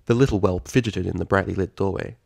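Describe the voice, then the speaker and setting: A man narrates scripted fiction in a low voice with a gravelly, creaky edge. He reads expressively and with drama, and the recording is very dry and close. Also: fast talker, darkish tone, no echo.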